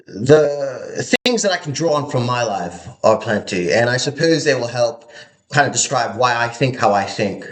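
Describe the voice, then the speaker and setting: A young man from Hong Kong giving an earnest, halting motivational monologue to camera, often repeating his words. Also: medium pitch, lively intonation, roomy sound, poor audio.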